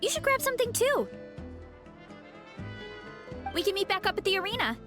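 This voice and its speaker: A woman playing a cheerful, athletic young adventurer in an anime video game, with a breezy, confident, expressive delivery. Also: very high-pitched, moderate pace, over music. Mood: dramatic, hungry.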